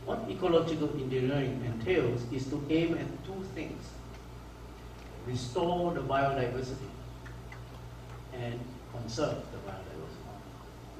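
A man from Malaysia giving a conference lecture over slides, speaking haltingly with many restarts and fillers. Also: mid-range voice, background noise. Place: lecture hall. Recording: echoey hall recorded from far off.

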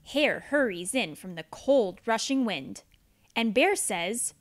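Casting: female storybook narrator, high-pitched, lively intonation, dramatic pauses. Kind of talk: expressive children's read-aloud.

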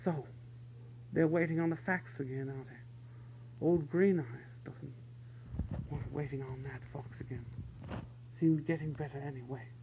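A man voicing a gruff, bossy soldier barking orders at his troops in a storybook reading. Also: fairly high pitch, steady tone, unhurried, long pauses, darkish tone. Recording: dull lo-fi recording with faint hum.

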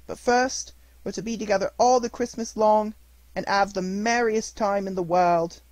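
A man's voice: sits high, steady tone, moderate pace, no echo, voice pushed up, natural voice, low-quality recording. A man voicing an eager, gleeful little girl who drops her h's, in a dry recording.

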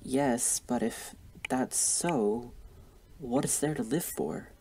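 A man playing a sober, learned survivor in a radio play, wary and reflective, speaking in measured, formal words. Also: medium pitch, warm tone, slightly rough. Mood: wary, troubled. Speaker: actor and narrator in a radio play.

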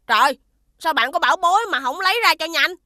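A curious, excitable little cartoon girl with a high, bright voice. Soft music plays beneath her. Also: quick pace, dry recording, clean studio sound. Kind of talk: cartoon voice acting.

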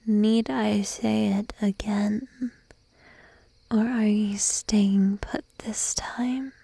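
Girl doing a shy needy sheep gf for asmr, sleepy breathy whisper, slow n mellow, teasing a bit, super close mic. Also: medium pitch, level tone, no room sound, full character voice. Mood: coaxing, needy. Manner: slow, drowsy, playfully coaxing. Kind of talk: ASMR roleplay, intimate whispering. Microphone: close microphone, dry.

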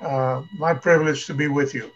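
A bishop, speaking slowly and hesitantly with many fillers while introducing himself on a video call. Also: male voice, on the high side, steady tone. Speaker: bishop, panelist. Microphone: video call webcam. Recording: dull video call audio.

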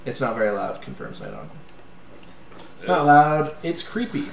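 A young man from Canada, co-hosting a live game stream in casual chat, rambling and joking with quick asides. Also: mid-range voice, wildly swooping pitch, vocal fry, roomy sound, slight background noise. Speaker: let's play co-host. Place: small room at home.

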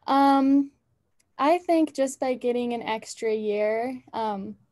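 A woman's voice: a little high, takes it slowly, warm tone, no echo, clean studio sound. A young adult woman, a college athlete, answering press questions over a video call from a dorm room, earnest with many fillers. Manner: earnest, lots of "um" and "like". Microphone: laptop webcam on a video call.

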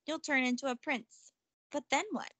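A woman's voice: high voice, steady tone, normal speed, sharp tone, no room sound. A woman voicing a playful young girl in a storybook, matter-of-fact and full of questions. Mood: questioning.

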